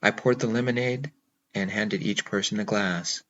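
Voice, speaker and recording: A man with a lowish voice reads a first-person novel aloud, measured and intimate. The recording is a little dull, with a faint hiss underneath. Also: fairly even intonation, a bit bright. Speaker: audiobook narrator.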